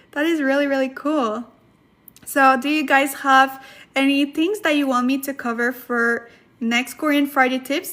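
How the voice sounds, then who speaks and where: High-voiced woman hosting a chatty live lesson. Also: fairly bright tone. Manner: chatty, laughs often, many fillers. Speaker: Korean language teacher, livestream host. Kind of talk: casual livestream lesson, reading chat comments.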